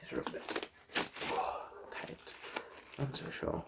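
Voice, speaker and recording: A soft, breathy ASMR performer playing an optician, murmuring in a hesitant, reassuring way and often drifting into a whisper. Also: slow pace, heavy background noise.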